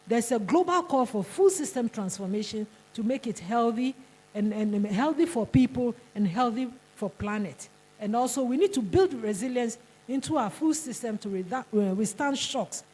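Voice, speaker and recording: Ghanaian woman, conference speaker; mellow, husky, fairly high voice, emphatic with frequent restarts. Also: rough recording. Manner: emphatic, repeats words and restarts. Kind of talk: conference presentation. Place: conference hall.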